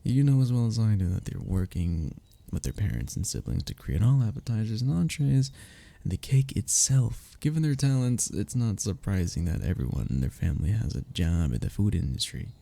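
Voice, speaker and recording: A man acting a young best friend in an intimate audio roleplay, with a very gravelly, low voice. He is affectionate and playful, teasing gently in a soft, earnest way, and his pitch moves around in a lively manner. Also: a little fast. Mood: relaxed, fond. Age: young adult.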